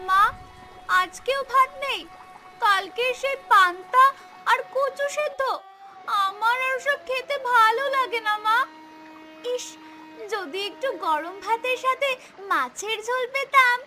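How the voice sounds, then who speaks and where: Poor little village girl for cartoon, played by a woman: tiny, very high, pleading, music behind. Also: expressive, slow pace, pauses often, pushed and bright, exaggerated voice. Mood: plaintive, longing. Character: hungry, timid little girl of a poor family. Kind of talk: cartoon voice acting.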